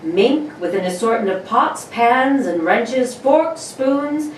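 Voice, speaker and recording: Female radio-drama announcer, higher pitch, theatrical, emphatic, roomy distant recording. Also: expressive, takes it slowly. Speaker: announcer and narrator. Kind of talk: dramatic scripted radio-play narration. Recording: distant roomy mic with faint noise.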